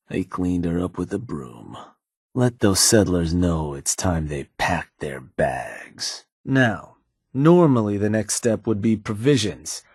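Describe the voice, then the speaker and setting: A dry, deep-voiced raider fixer. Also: male voice, a little mellow, no room sound. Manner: dry, matter-of-fact, sardonic. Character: gruff laid-back male raider fixer, dry and calculating. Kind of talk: video game voice acting.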